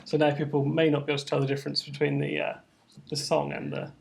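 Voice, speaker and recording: A man's voice: on the high side, completely flat delivery, fast talker, creaky, darkish tone, slight background noise. A man co-hosting a live stream and handling the tech, chatting casually with dry, understated humour. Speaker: co-host running the stream tech. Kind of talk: casual chat.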